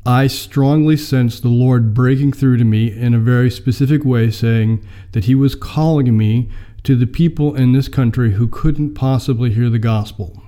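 An American man in his fifties, telling how he came to mission work in a measured, filler-laden interview. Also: medium pitch, mellow. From United States. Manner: measured storytelling with many fillers. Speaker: mission mobilizer, guest. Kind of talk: personal testimony in an interview.

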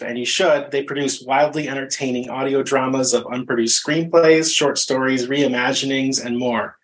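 A man's voice: mid-range voice, a bit bright, poor audio. A man interviewing a guest on a podcast in a casual, conversational style.

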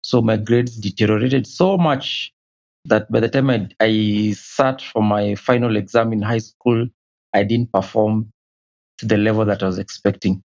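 A man's voice: on the low side, fairly even intonation, a little slow, gravelly, darkish tone, dull audio. A man, answering interview questions hesitantly and often repeating a word as he recalls his past. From Kenya. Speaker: guest.

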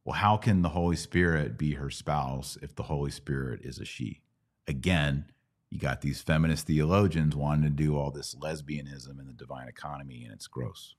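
Middle-aged male podcast host, American, extremely low voice, informal teacherly Q&A delivery. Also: moderate pace. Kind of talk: live Bible Q&A, casual teaching.

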